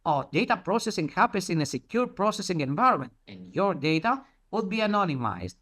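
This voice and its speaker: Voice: mid-range voice, sing-song, fairly quick, a bit bright. A presenter explaining things didactically to an audience, posing listeners' questions and then answering them. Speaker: conference presenter.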